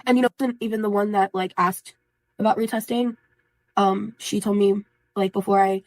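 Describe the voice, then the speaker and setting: A woman's voice: mid-range voice, fairly even intonation, normal speed, darkish tone, dry recording, poor audio. Tired woman vlogging, rambly, lots of um and like, dry close mic. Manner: rambling, hesitant with many fillers. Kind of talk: casual vlog talk.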